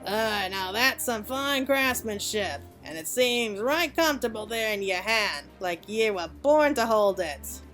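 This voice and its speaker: A woman's voice: medium pitch, animated, a little slow, few pauses, a bit bright. A woman acts out a proud old master craftsman from a fantasy video game. The mentor talks in a folksy, rustic dialect, with faint music playing behind. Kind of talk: video game dialogue read aloud.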